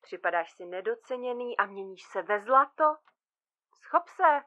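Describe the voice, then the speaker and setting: A frazzled, bickering female surgeon in a comic radio play. Her voice is high and sharp, blunt and sarcastic, in a slightly dull recording. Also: animated. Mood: exasperated, dismissive. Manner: colloquial, sarcastic, exasperated. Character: frazzled, blunt thirty-year-old woman surgeon split in two, bickering. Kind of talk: comic audio drama dialogue.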